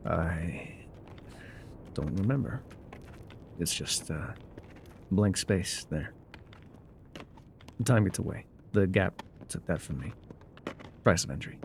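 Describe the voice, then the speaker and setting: A man playing a laconic, weathered frontier guide in a calm, haunted, musing ASMR roleplay, with a low gravelly voice and folksy pauses. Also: a little hiss or hum, exaggerated voice. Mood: wistful, haunted. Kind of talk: immersive ASMR roleplay monologue.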